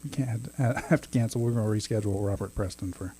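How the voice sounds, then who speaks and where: A man's voice: lowish voice, fast talker, dark tone, husky. A man in his thirties co-hosting a podcast, chatting casually, laughing often and restarting his sentences. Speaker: podcast co-host. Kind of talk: casual chat.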